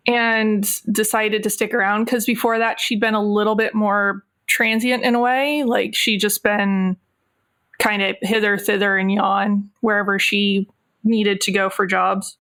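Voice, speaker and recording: A woman with a medium-pitched voice speaks as a player in a tabletop game. Her delivery is casual and conversational as she describes her character. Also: flat delivery, a little slow, a bit bright.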